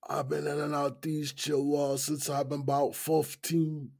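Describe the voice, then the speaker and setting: An actor playing a weary, philosophical long-time inmate in an audio drama, gravelly and husky, musing unhurriedly in street slang. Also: male voice, on the high side, little pitch movement, unhurried, a little mellow. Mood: weary, reflective.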